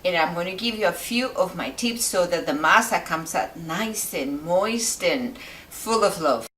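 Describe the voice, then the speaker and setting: A woman's voice: fairly low pitch, expressive, unhurried, fairly bright tone, far from the mic. A woman from Mexico with a Mexican accent, a native Spanish speaker, hosting an informal home recipe demonstration. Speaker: home cooking video host. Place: kitchen.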